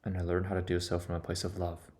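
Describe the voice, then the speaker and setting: A man giving a personal, reflective monologue in an earnest, confessional manner, setting out his thoughts in short, deliberate phrases. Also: deep voice, monotone, darkish tone. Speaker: vlogger.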